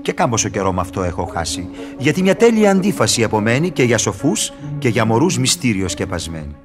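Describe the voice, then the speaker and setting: An actor in a radio drama playing a sly, mocking devil who wheedles and teases in a declamatory way. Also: male voice, mid-range voice, very animated, over music, natural voice. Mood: amused. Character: sly, mocking devil tempter and fixer, male. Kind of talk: theatrical radio drama acting.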